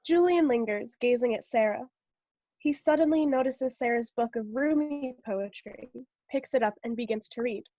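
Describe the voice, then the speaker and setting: Muffled, dry call audio carries a woman reader. Her voice is a little high as she narrates scripted stage directions for a play. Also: normal speed, mellow, dry recording. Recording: dull video-call audio.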